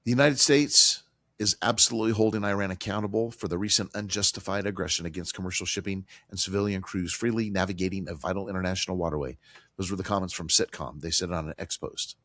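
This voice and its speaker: A man hosting a financial talk radio show, hoarse from a cold, talking emphatically with fillers and repeated phrases. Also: deep voice, fairly even intonation, fast, fairly bright tone, dry recording, clean studio sound. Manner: emphatic, repetitive, conversational with fillers.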